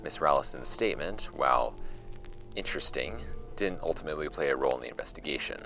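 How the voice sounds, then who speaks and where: An actor playing a wry archive host, reading old case files aloud in a measured, conversational way for a scripted audio drama. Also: male voice, rumbling low, animated, gravelly, pushed and bright, exaggerated voice. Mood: wry, matter-of-fact. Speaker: host of a fictional case-file podcast. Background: music under the voice.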